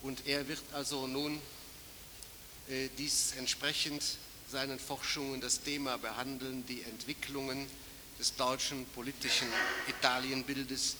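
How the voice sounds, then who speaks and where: Male session chair, monotone formal introduction in a reverberant hall. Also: mid-range voice, measured pace. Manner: even, formal. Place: lecture hall. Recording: hissy reverberant hall recording.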